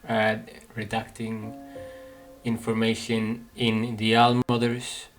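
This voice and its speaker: Low-voiced man musing over a game. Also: completely flat delivery, low-quality recording. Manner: halting, musing aloud, analytical. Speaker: gamer commenting on a playthrough. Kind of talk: casual reaction commentary. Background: game music.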